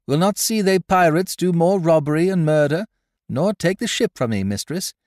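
A man's voice: fairly high pitch, animated, moderate pace, a little mellow, dry recording, studio quality, voice pushed up, close to an everyday voice. A man voicing a bold, emphatic sailor with rustic seafaring speech in a storybook. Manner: emphatic, cold, dialect-laden. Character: bold male sailor with rustic speech, protesting his honesty. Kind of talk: audiobook character voice, old seafaring dialect.

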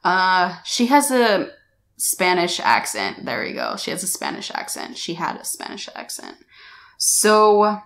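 A woman's voice: lowish voice, wildly swooping pitch, unhurried, fairly bright tone. A young American woman in her twenties telling a story to camera in a small room, chatty and rambling, often correcting herself. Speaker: vlogger. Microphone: camera-mounted shotgun mic.

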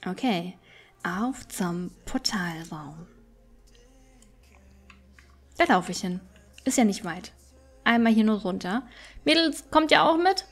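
A woman's voice: medium pitch, expressive, unhurried. A woman giving casual let's play commentary, chatty and thinking aloud as she goes. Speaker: gaming streamer and let's play host.

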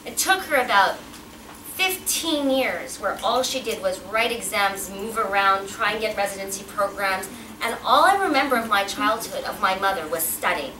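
A woman's voice: mid-range voice, lively intonation, moderate pace. Woman speaking at a podium in an echoey hall. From Pakistan. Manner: chatty, engaging the audience with questions. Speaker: guest speaker. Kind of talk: personal talk at a podium. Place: event hall with a backdrop, indoors. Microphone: podium mic, filmed from a distance. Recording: roomy, distant, noisy hall sound.

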